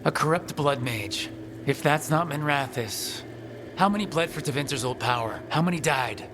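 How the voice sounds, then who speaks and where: A man's voice: fairly high pitch, lively intonation, normal speed, noisy background, natural voice. A man playing a principled companion with a wry yet earnest manner in a fantasy video game. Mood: indignant.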